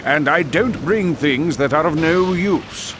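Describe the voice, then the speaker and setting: A man's voice: on the high side, lively intonation, slow, noisy background. A man voicing an authoritative old wizard, stern but kindly, in a fantasy video game. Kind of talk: video game cutscene acting.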